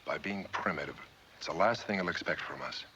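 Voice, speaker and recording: An actor playing a terse, determined space adventurer in a 1970s television science fiction drama. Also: male voice, sits low, brisk, pushed and bright, a little hiss or hum, low-quality recording.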